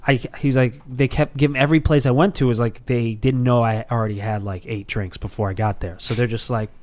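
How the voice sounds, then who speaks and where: Casting: male podcast co-host, mid-range voice, loose and profane, animated storyteller. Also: medium pitch, brisk. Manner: profane, rambling, animated storyteller who acts out quotes. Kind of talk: casual storytelling chat.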